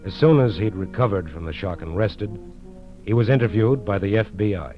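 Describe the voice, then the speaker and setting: An actor with an American accent as a solemn narrator, delivering dramatic scripted 1940s radio crime narration. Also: male voice, on the low side, moderate pace, close to an everyday voice. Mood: grave, dramatic. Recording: muffled old radio broadcast. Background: dramatic music.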